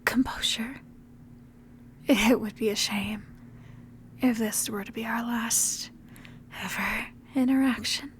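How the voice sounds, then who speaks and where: A woman voicing a seductive, predatory, ancient vampire in a breathy, intimate whispered ASMR roleplay. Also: a little high, steady tone, slight background noise. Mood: menacing, controlled. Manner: slow, intimate, menacing endearments.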